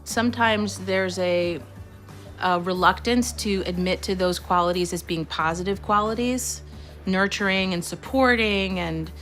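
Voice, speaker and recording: A woman's voice: medium pitch, measured pace, a bit bright, soft background music. A woman film producer speaking in a documentary interview, articulate and reflective.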